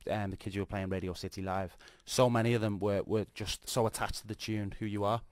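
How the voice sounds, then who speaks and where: Man, Scouse accent, rapid delivery, chatty radio interviewer, enthusiastic, close dry sound. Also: lowish voice, level tone, no echo. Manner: jokey, informal, enthusiastic. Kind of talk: casual celebrity interview, chatty banter.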